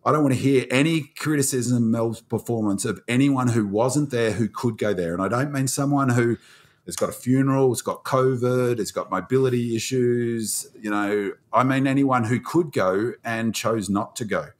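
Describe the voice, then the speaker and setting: An Australian man with a croaky voice from screaming, ranting in casual podcast chat as a panelist. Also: mid-range voice, moderate pace. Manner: fired-up, ranting.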